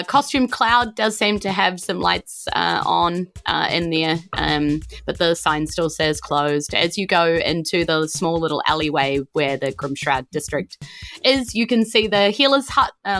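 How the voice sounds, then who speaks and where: Casting: female game master, New Zealand accent, slightly low voice, informal improvised storytelling over music. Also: fairly bright tone. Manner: informal, hesitant, loosely descriptive. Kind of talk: improvised tabletop game narration.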